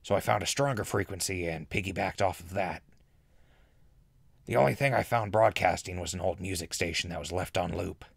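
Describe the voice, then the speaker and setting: A man playing an easygoing ghoul radio host in a post-apocalyptic audio drama, laid-back and conversational with a gravelly voice. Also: low voice, a little fast, dry recording, heavily acted. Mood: placating. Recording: music bed under the voice.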